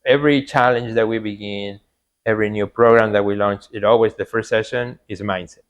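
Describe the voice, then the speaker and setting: A man with a Mexican Spanish accent and a somewhat low voice, speaking English as a second language. He talks earnestly in a relaxed interview, circling back on his words with frequent "you know"s. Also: a little fast, lo-fi sound. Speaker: podcast guest, artist coach. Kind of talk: casual interview talk.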